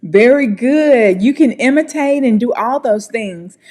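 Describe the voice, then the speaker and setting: An American woman leads a relaxed pronunciation class, her voice sitting a little high. She talks slowly and carefully, encouraging her student as she goes. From United States. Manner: friendly, encouraging, slow and deliberate. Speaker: English pronunciation teacher. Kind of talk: casual online pronunciation lesson.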